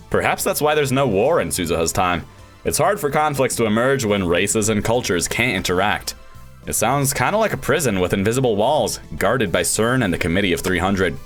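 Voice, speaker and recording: A low-pitched, brooding young man of science as a man acts him out in a visual novel. He talks in dramatic, introspective monologue, full of self-doubt and a quick temper. Faint music plays behind him. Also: expressive, a bit bright. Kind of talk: visual novel narration read aloud.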